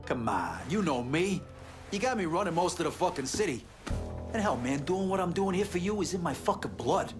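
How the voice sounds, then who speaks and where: Cocky loyal gangster, highish voice, bragging and cussing, music under. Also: male voice, sing-song, a little fast. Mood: boastful. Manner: boastful, swearing. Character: cocky, loyal male gangster, fierce and swearing. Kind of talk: video game cutscene acting.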